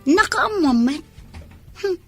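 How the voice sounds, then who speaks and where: A woman's voice: very high-pitched, animated, takes it slowly, a bit bright, soft background music, heavily acted. An actress with a Filipino accent playing a lovestruck, stubborn young woman in a radio drama. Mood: lovestruck, defensive. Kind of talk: Ilocano radio drama acting.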